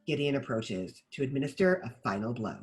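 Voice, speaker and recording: A narrator reads out screenplay action in a scripted table read. The voice sits mid-range and moves with lively pitch, over faint music. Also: brisk. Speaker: narrator reading stage directions.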